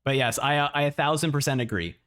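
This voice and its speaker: A man in a casual livestream reaction, talking excitably and often repeating words as he thinks out loud. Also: mid-range voice, fairly quick, clean tone. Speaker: streamer reacting to a video.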